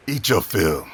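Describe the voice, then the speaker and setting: A man plays a burly, swaggering seafarer with a very deep voice. He taunts and teases with lively swings of tone, half threat and half amusement. Faint ambience runs behind this audio drama scene. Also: background noise, exaggerated voice. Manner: teasing, intimidating. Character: broad, swaggering, mocking male pirate sailor.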